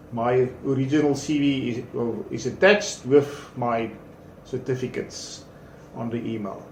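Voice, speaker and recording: Halting South African man in his fifties. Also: mid-range voice, darkish tone. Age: 50s. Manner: halting, with many fillers and restarts. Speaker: small business owner presenting a service. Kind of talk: casual promotional talk and self-introduction. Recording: echoey room with faint background noise.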